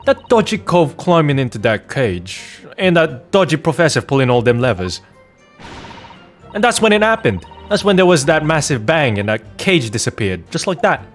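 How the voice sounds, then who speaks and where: A man's voice: a little high, very animated, normal speed, soft background music. A man voicing a cocky young street-urchin girl turned detective in a video game, using street slang. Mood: cocky, excited.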